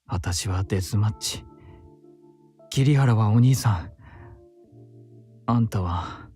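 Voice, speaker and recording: A gentle, effeminate young man in a visual novel. He sounds caring and candid, with a husky edge over soft music. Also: on the low side, measured pace. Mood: wistful, probing. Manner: gentle, feminine phrasing. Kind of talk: Japanese visual novel voice acting. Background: game music.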